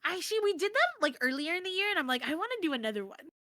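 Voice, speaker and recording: A woman's voice: extremely high pitch, sing-song, rapid-fire, bright, forceful tone, dry recording, clean studio sound. An American woman chatting casually and expressively as a guest on an interview stream. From United States. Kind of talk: casual chat.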